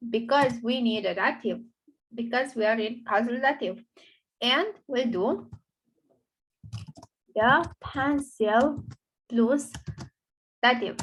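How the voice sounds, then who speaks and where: Slow-spoken Romanian-accented woman teacher. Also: a little high, low-quality recording. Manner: halting, explanatory, thinks aloud with fillers. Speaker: language teacher. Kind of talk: online grammar lesson.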